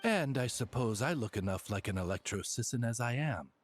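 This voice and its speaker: A man's voice: medium pitch, animated, soft background music, lightly acted. A man voicing a dignified, formal talking raven familiar in an anime game, courteous and deferential. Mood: deferential, composed. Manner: formal, deferential, measured wording.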